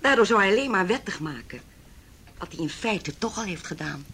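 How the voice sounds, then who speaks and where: Haughty middle-aged mother, husky voice, swooping intonation, cutting, condescending, radio drama. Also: mid-range voice, lively intonation, a little fast, a bit bright. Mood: calculating. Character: haughty, cold, wealthy mother opposing her son's marriage. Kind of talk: Dutch radio play acting.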